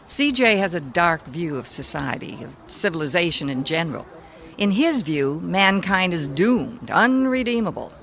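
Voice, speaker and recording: A woman's voice: lowish voice, expressive, moderate pace, a bit bright. A woman playing a composed, businesslike, slightly condescending literary assistant in a radio mystery drama. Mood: explaining.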